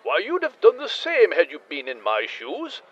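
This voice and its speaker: A man's voice: high-pitched, huge pitch swings, moderate pace, a bit bright, breathy edge, highs cut off, full character voice. A man voicing a huge, genteel British whale, posh and bumbling, heard over a speakerphone in a comic audio drama. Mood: genial, modest. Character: huge, genteel, modest adventurer whale, male.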